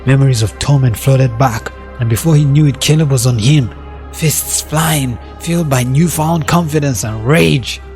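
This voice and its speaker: A man's voice: mid-range voice, moderate pace, a little mellow, faint music behind. A man narrating a scripted dramatic story as its storyteller.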